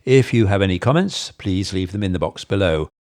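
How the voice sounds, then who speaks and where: A man's voice: sits low, animated, a little fast, darkish tone. A man hosting a radio programme, delivering scripted credits and sign-offs. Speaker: radio host. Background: music.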